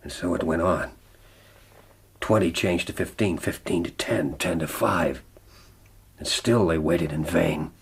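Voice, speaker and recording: A very husky, low-pitched male voice for a rugged frontiersman in a radio play. He is defiant and intense, a man in love telling of his own ordeal. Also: measured pace, long pauses, heavily acted. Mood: brooding. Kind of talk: radio drama acting and narration.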